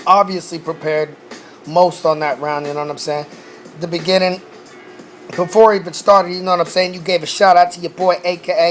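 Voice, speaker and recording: Laid-back man with a fading voice. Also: high-pitched. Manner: laid-back, profane, says "you know what I'm saying" often. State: losing his voice. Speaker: battle rap reviewer. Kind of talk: casual recap vlog.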